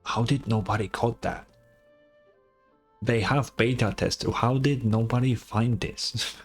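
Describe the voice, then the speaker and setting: Casual male game streamer, medium pitch. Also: slow pace, breathy edge, faint music behind. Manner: incredulous, sweary, repetitive. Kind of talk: casual reaction commentary.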